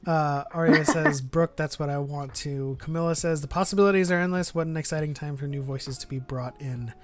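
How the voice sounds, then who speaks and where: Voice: mid-range voice, brisk. A podcast host chatting casually in a fan discussion. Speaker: podcast host reading viewer chat.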